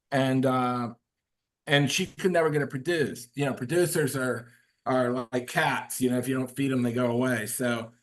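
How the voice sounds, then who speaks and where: A man who is a guest author, talking casually on a video call, rambling with many fillers and restarts. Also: medium pitch. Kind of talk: casual webinar talk.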